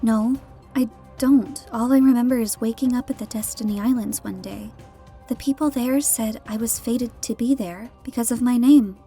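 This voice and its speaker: A woman's voice: on the high side, moderate pace, dark tone, faint music behind, close to an everyday voice. A woman playing a defiant young captive girl in a game parody, talking in a casual, blunt, sardonic way. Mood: guarded. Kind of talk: scripted animated game parody dialogue.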